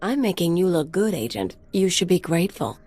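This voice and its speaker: Mocking female mercenary, slightly low, very animated intonation, sardonic, teasing, video game sidekick. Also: brisk, breathy edge. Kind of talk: video game cutscene acting.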